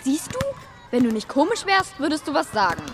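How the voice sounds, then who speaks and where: Radio play: bratty little schoolgirl, high, lively, provoking. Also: a little fast, loud music behind the voice. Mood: taunting. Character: cheeky, taunting schoolgirl of about eight.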